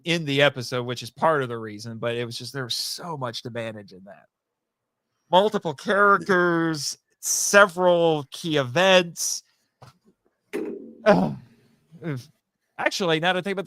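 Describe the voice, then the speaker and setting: A man with a slightly high, crisp and clear voice talks casually in a very dry-sounding recording. He is an animated guest who interrupts himself and works out his thoughts aloud as he goes. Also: dry recording. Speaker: guest, audio drama writer and voice actor. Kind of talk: casual fan chat.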